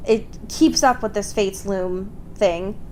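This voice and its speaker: A woman's voice: mid-range voice, slow pace, warm tone. A young woman co-hosting a casual, comedic book podcast, sweary and exasperated, often doing mocking quotes. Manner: exasperated, sweary, mock-quoting. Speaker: podcast co-host. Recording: clear background noise.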